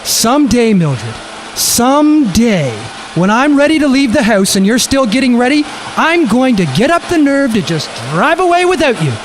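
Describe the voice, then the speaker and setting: A man's voice: very high-pitched, big pitch swings, normal speed, a little hiss or hum. A man acting in a radio drama as an impatient, fussy, self-righteous husband with a huffy manner. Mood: exasperated. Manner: huffy, insistent.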